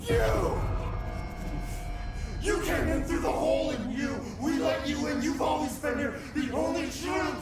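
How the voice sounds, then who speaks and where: A man's voice: very high voice, shouting, over loud music, heavily acted. An actor playing an unhinged, possessed captive man in a video game, chanting cryptically with eerie detachment. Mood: frenzied. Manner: slow, chanting, cryptic repetition. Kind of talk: dramatic video game acting. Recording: roomy echo with clear background noise.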